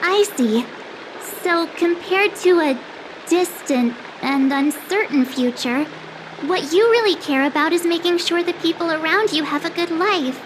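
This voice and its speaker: A woman playing an earnest, energetic teenage girl adventurer in a game cutscene. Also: very high-pitched, unhurried, background noise. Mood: thoughtful.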